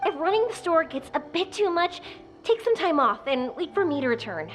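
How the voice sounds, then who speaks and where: An actress playing a bubbly, eager young woman who makes fireworks in a video game, with a breezy, girlish, excitable manner. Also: very high-pitched, normal speed, faint music behind. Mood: cheerful, reassuring.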